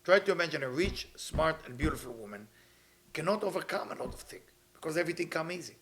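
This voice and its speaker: Man in his 50s, slightly high voice, earnest teacher who repeats himself. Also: expressive, fast, a crowd in the background. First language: Hebrew. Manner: earnest, repeats phrases, non-native grammar. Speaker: kabbalah teacher. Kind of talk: weekly spiritual talk.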